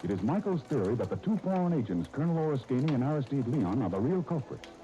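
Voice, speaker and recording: A man's voice: medium pitch, very animated, quick pace, dark tone. A man narrating a 1930s radio serial as its announcer, in a scripted dramatic style, on an old recording. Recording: muffled, noisy 1930s radio disc.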